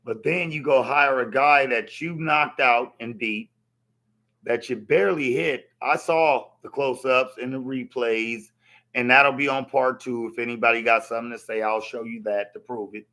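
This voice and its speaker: A man with a hoarse voice from yelling, ranting bluntly to camera about a boxing match and swearing freely. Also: mid-range voice, normal speed. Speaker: vlogger reacting to a boxing match. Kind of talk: casual rant to camera.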